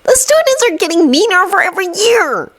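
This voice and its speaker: A woman's voice: very high-pitched, expressive, a little fast, a bit bright, voice pushed up. A woman voicing a nervous, earnest teenage boy wizard in a storybook reading, with an eager, rising voice.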